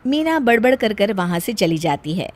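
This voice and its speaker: A woman's voice: mid-range voice, sing-song, normal speed. A woman storyteller reading scripted narration for a story.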